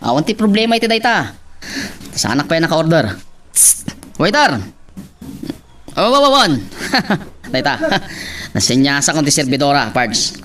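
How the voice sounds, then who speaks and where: A man's voice: a little high, sing-song, a little slow, pauses often, pushed and bright, full character voice. A man playing a boisterous, cocky drinking buddy in an Ilocano radio drama. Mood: boisterous, leering.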